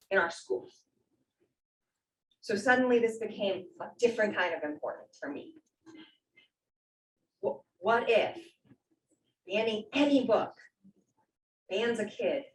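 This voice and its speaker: A woman speaks in a loud, carrying teacher voice, conversational and heartfelt. She is far from the microphone in an echoey meeting room, with a faint hiss behind her. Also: mid-range voice. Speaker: reading teacher, public commenter. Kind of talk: public comment at a meeting.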